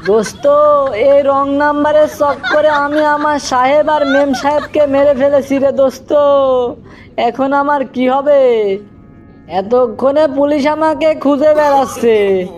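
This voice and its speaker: Man as high-pitched excitable young cartoon fellow. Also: a little slow. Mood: panicked pleading. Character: excitable young cartoon character in comic skits. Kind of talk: scripted cartoon comedy. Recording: dull lo-fi audio with music.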